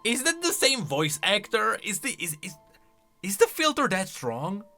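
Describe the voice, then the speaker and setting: A male video host reacting casually to game lore in a conversational, questioning way. His voice is bright and fairly high, swinging animatedly up and down over faint music. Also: sing-song, unhurried. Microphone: close studio-style microphone.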